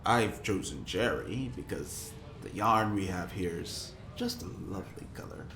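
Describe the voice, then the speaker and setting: A man's voice: low-pitched, expressive, a little slow, a bit bright, echoey room, a little hiss or hum, exaggerated voice. A man playing a genteel, encouraging prisoner who leads a knitting circle in an audio drama, fussy and courteous. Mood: kindly, encouraging.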